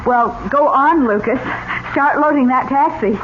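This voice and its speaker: An imperious small-town woman, crisp and impatient, giving orders in a high voice. The radio drama recording is dull, with clear noise behind her. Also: unhurried. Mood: brisk. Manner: crisp, commanding. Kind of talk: 1970s radio drama acting. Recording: dull, noisy old radio broadcast.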